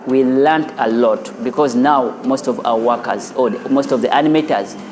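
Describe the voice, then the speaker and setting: A man, a studio founder and teacher, talking in an earnest, unhurried way with small restarts in a documentary interview. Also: mid-range voice. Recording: interview over loud background music.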